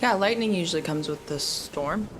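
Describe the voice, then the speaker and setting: A woman chats as a player in a tabletop game, in casual banter with the group. Her voice is low for a woman, with heavy babble behind it. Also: moderate pace, a loud crowd, poor audio.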